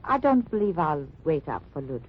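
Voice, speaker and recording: Secretive resistance wife, vintage radio. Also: female voice, low voice, very animated, brisk, slightly rough, heavily acted. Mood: polite, composed. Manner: careful, formal, earnest phrasing. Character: secretive, weary German underground fighter's wife. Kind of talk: 1940s-50s radio drama acting. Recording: muffled, noisy old-time radio recording.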